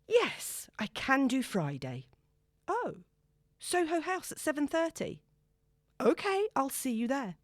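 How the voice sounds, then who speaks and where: A woman voicing an excitable young single woman in an audiobook, bubbly and self-consciously breezy. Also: high-pitched, takes it slowly, no room sound, studio quality, voice pushed up, full character voice. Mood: breezy, excited.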